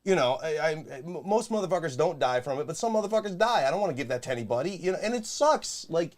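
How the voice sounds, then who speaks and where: A man's voice: fairly high pitch, sing-song, fast. A man with a nasal voice and a Rochester, New York accent, co-hosting in blunt, profane casual chat. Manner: swears freely, blunt and joking. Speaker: podcast co-host.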